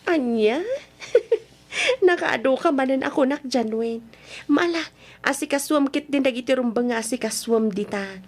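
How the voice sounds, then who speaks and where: High slow voice, coy lovestruck young woman in a radio drama. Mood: coy, affectionate. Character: smitten, giggly young woman in love. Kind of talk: Ilocano radio drama acting.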